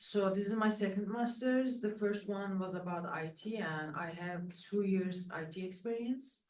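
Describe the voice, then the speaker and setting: A woman's voice: mid-range voice, normal speed, darkish tone, slight background noise. Woman, echoey distant sound. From Turkey. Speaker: graduate student. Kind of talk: self-introduction in a class. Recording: roomy, distant.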